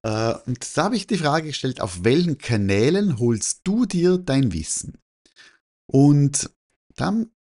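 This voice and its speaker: A man with an Austrian-Swiss German accent talking informally and presenting survey results, halting and correcting himself as he thinks aloud. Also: a little high, very animated, dark tone. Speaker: photography teacher and newsletter host.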